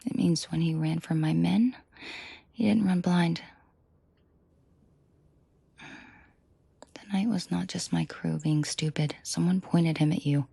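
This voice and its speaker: A woman playing a commanding, protective and seductive mafia boss in an intimate audio roleplay, speaking in a breathy, controlled murmur that often drops to a half-whisper. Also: lowish voice, dark tone, no echo, full character voice. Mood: low menace. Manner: slow, deliberate, controlled murmur addressed closely to listener.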